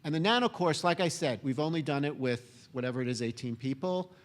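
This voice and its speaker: A man giving a conference talk in a relaxed, conversational way, with frequent fillers. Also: on the high side, fairly quick. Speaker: conference speaker.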